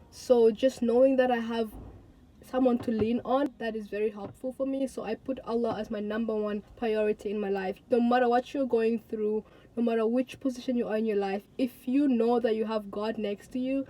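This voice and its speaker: A young woman of eighteen from Tanzania talks straight to her viewers in a casual vlog. Her voice sits a bit high but sounds soft and mellow. She speaks earnestly and chattily, often repeating words for emphasis. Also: low-quality recording. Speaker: vlogger. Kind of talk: casual talk to camera.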